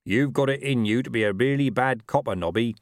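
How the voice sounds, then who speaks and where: A man's voice: mid-range voice, fairly quick, dry recording, clean studio sound, close to an everyday voice. A man voicing a blunt dwarf watchman in an audiobook, teasing with mock sorrow. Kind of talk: audiobook character voice.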